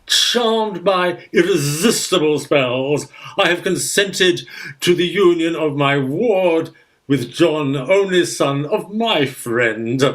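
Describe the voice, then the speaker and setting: A man's voice: fairly high pitch, fairly bright tone. A man playing a pompous older guardian uncle in a theatrical radio farce, with florid, hesitant speech.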